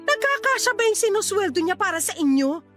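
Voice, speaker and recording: An angry Filipino older sister in a radio drama. Her voice sits extremely high as she interrogates and scolds like the family breadwinner. Soft music plays underneath. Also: sing-song, brisk. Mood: furious scolding. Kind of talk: Tagalog radio drama acting. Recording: faint music behind the voice.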